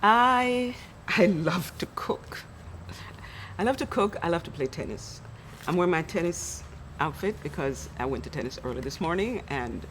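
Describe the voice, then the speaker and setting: A woman's voice: low-pitched, a little hiss or hum. A woman from Jamaica talking casually about her life, chatty and self-correcting, laughing easily. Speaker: church member sharing her story. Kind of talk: casual personal reflection. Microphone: studio interview mic.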